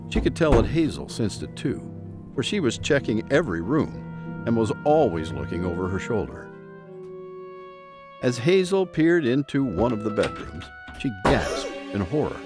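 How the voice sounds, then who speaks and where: Casting: male audio drama narrator, lowish voice, hugely varied dramatic delivery, music underneath. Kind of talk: dramatic scripted storytelling.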